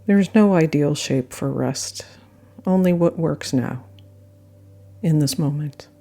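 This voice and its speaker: A woman guiding a yoga nidra meditation with gentle, unhurried phrasing and frequent pauses. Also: deep voice, gravelly. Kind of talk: guided meditation narration. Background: soft meditation music.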